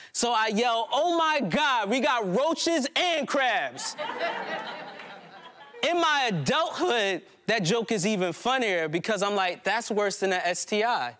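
Higher-pitched man telling stories on stage. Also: big pitch swings, bright, forceful tone. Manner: conversational, halting, prone to chuckling. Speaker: poet and storyteller on stage. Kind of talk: live autobiographical monologue. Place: indoor community hall stage before a small audience.

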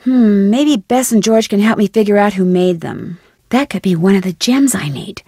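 A young woman voicing a plucky, curious young amateur detective in an adventure game, musing to herself with clear enunciation. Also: medium pitch, normal speed, dark tone, dry recording, clean studio sound. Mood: puzzled, thoughtful. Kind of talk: scripted adventure game voice lines.

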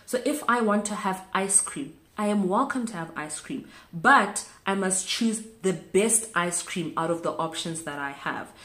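A woman's voice: fairly low pitch, a bit bright. A woman in her thirties from South Africa, chatting casually to camera in a small room and repeating words for emphasis. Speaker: vlogger. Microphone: camera close in front. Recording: echoey room.

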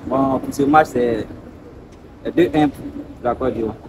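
A man's voice: medium pitch, measured pace, creaky. A man, answering casually in a street interview. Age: 20s. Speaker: interviewed passer-by. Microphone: handheld microphone. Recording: street recording with faint traffic noise.

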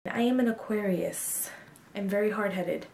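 A woman's voice: medium pitch, normal speed, creaky, darkish tone, echoey room. A woman of twenty-two chatting frankly to camera about herself in a casual get-to-know-me video, with lots of ums and likes. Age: 20s. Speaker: beauty vlogger. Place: small bedroom, indoors.

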